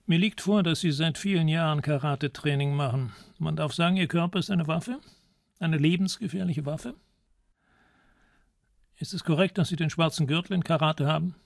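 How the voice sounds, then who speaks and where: A man's voice: fairly high pitch, normal speed, gravelly, no echo, clean studio sound. An actor playing a stern middle-aged investigating judge in a radio crime drama, curt and authoritative as he questions. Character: stern, curt middle-aged Spanish examining magistrate, powerfully built.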